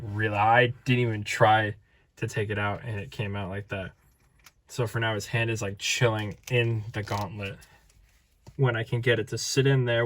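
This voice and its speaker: Guy doing a toy review vlog, tired voice going out, goofy and rambly, average pitch. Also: a bit bright. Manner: rambling, playful, self-interrupting. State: tired, voice fading.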